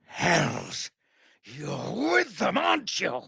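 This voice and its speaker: A man voicing a weary, honourable middle-aged tiefling paladin in a video game, speaking in a measured, formal, grave manner. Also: sits high, wildly swooping pitch, gravelly, pushed and bright, husky, no room sound, clean studio sound, heavily acted. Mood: angry, defiant. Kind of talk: video game dramatic voice acting.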